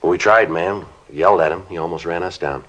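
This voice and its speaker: An actor playing a terse, matter-of-fact American police sergeant in an old radio crime drama, with a flat, businesslike delivery. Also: male voice, sits low, quick pace. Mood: solemn, restrained. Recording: dull, band-limited old radio recording.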